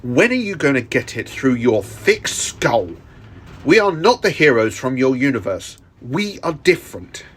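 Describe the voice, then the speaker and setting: A man's voice: mid-range voice, sing-song, unhurried, fairly bright tone, breathy edge, full character voice. A man playing a determined, unyielding superhero in a dramatized audio story, stern and emphatic. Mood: angry, defiant. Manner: emphatic, snapping back.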